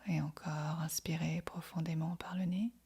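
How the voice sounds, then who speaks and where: A woman guiding a yoga nidra meditation in a breathy, whispery, husky voice, speaking softly and soothingly with long pauses. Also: sits low, level tone, slight background noise. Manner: soft, soothing, unhurried instruction with long pauses. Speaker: meditation guide.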